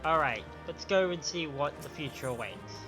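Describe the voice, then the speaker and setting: A man's voice: a little high, normal speed. A man giving casual live commentary as a game streamer. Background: faint game music.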